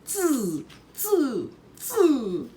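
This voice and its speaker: A woman's voice: sits high, huge pitch swings, extremely slow, mellow, far from the mic, a little hiss or hum, voice pushed up, heavily acted. A woman voicing mischievous storybook monkeys with short, repeated mimicking cries. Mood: teasing.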